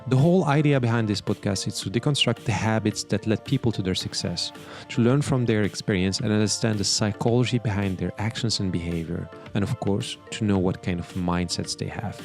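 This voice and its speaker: A man's voice: lowish voice, a little fast, vocal fry, few pauses, faint music behind. A man hosting a podcast, reading a prepared introduction and then asking loose interview questions. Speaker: podcast host, performance coach.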